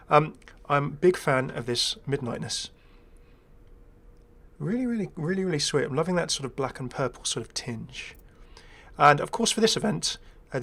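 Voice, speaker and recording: A man's voice: on the high side, fairly bright tone. A man giving casual, rambling first-impressions commentary as a gaming video creator, chatty, enthusiastic and self-deprecating.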